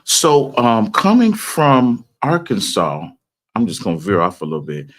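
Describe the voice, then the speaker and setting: A man's voice: on the low side, huge pitch swings, measured pace. A man hosting a casual gospel music interview, folksy and emphatic, often repeating his phrases. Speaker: talk show host.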